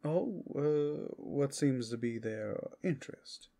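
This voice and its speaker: A man's voice: medium pitch, expressive, slow pace, gravelly, dark tone, heavily acted. A man playing a wry, chatty werewolf in a fantasy ASMR roleplay, casual and theatrical in his delivery. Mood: coy curiosity.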